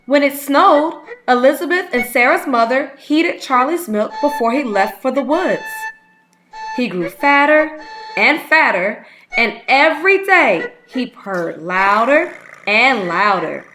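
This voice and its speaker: A woman reading a children's story aloud as a read-aloud host, with expressive, unhurried storytelling. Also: high-pitched. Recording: music playing behind the voice. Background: instrumental music.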